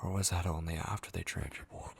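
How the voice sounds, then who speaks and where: A man playing an obsessive, possessive kidnapper in an ASMR horror roleplay, with a breathy, gravelly voice that slips into whispers and lingers tenderly and menacingly over words. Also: deep voice, level tone, fast talker, a little hiss or hum. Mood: taunting, hushed. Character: obsessive, possessive male kidnapper, unhinged, taunting and devoted.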